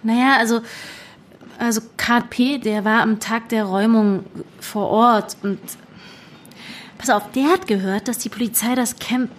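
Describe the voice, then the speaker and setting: A woman's voice: fairly high pitch, steady tone, unhurried. A woman playing a young undercover activist in a naturalistic audio drama, speaking in a colloquial, guarded and hesitant way.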